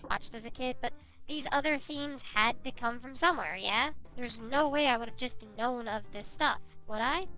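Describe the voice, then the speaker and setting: A woman's voice: fairly high pitch, moderate pace, bright, forceful tone, soft background music. A woman giving a rambling, self-justifying confessional monologue.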